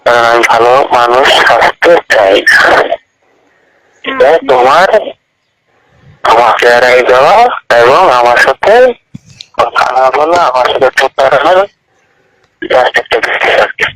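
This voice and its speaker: Bangladeshi guy on a noisy phone recording, pushy, keeps repeating himself, mid pitched voice. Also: unhurried, pauses often, pushed and bright. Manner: insistent, repetitive, pressuring. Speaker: teacher. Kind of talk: private phone conversation. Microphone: telephone.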